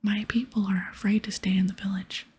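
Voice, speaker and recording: A woman voicing a solemn, courteous village shaman from a fantasy game, reading the dialogue in a soft, breathy ASMR whisper. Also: mid-range voice, level tone, brisk, slight background noise, lightly acted. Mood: grave.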